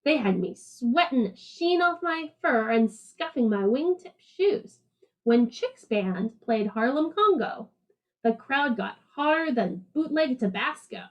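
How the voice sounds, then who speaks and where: Woman reading as high-voiced jive-talking alley cat. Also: expressive, a little slow, muffled recording. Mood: swinging, enthusiastic. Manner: slangy, jive-talking, rhythmic, playful emphasis. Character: streetwise jazz-loving alley cat narrator, hip storyteller. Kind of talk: picture-book read-aloud narration.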